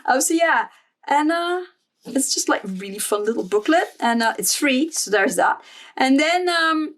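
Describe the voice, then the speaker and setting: A young woman, her Dutch, chats through a travel journal at her desk at home. Her voice sits a little high, and she rambles along with plenty of ums and uhs. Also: expressive, moderate pace, fairly bright tone. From Flemish Belgium. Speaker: vlogger. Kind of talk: casual journal flip-through.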